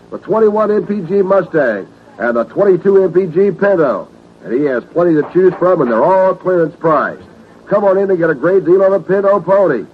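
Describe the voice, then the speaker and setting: An American man reading a scripted 1970s radio commercial as announcer, with a husky voice on a tinny vintage radio recording. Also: high voice.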